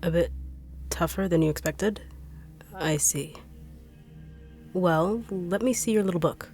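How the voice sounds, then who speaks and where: A young woman voicing a blunt, sharp-tongued treasure-hunting bodyguard in an audio roleplay drama, brusque and mocking. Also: fairly low pitch, unhurried, faint music behind. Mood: condescending.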